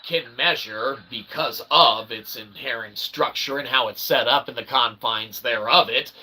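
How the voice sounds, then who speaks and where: A man's voice: mid-range voice, unhurried, bright, forceful tone, slightly rough, rough recording. A man giving a rambling comedic monologue as a vlogger, with convoluted, mock-intellectual phrasing and frequent tags like okay and eh. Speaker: vlogger, self-published author.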